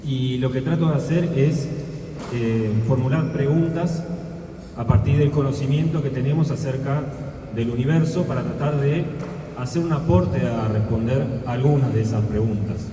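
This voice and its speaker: A man with an Argentine accent talking to students, hesitant, with many pauses and restarts. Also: mid-range voice, dark tone. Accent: Argentine Spanish. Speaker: astrophysicist. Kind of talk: talk to students. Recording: echoey hall from far off, noisy.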